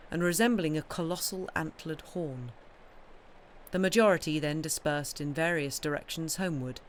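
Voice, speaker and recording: A woman's voice: low-pitched, slight background noise. A woman reading a classic story aloud as scripted literary narration. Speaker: audiobook reader.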